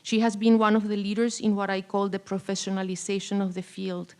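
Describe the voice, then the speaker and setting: A woman's voice: medium pitch, level tone, fairly quick, a little mellow, clean tone. A woman presenting an award with a prepared, formal tribute speech. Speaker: award presenter, faculty colleague.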